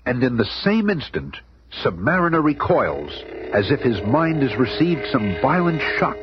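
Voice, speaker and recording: A man's voice: mid-range voice, big pitch swings, moderate pace, over loud music, lightly acted. A man performing as a dramatic comic-book story narrator in a scripted radio serial. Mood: suspenseful. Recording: muffled old radio recording, loud noise.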